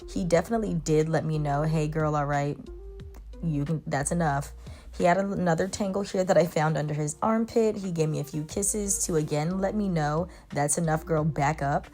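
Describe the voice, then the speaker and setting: A woman's voice: sits low, normal speed, dark tone, faint music behind. Chatty young woman groomer vlogging. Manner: chatty, talks to dogs in baby talk. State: congested. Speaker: mobile pet groomer. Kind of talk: casual vlog narration. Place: mobile grooming van.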